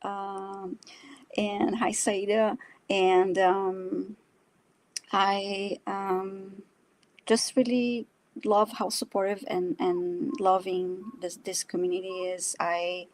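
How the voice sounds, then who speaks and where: A woman with a Brazilian Portuguese accent tells her own story in a casual live chat. She speaks at a middle pitch, pausing and restarting as she searches for words. Her manner is modest and self-deprecating. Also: level tone, no echo, poor audio. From São Paulo, Brazil. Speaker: guest, animal communicator and energy healer.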